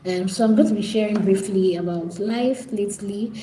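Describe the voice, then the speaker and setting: A woman's voice: medium pitch, normal speed, warm tone, slight background noise. Nigerian woman live-streaming in an echoey room. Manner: pleading, repetitive, talks straight to viewers. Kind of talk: casual live stream chat.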